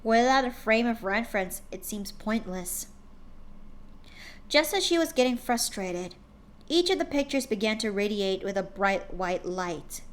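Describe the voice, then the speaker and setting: A woman's voice: mid-range voice, lively intonation, a little slow. A woman streamer reading a story aloud live, often stumbling and correcting herself.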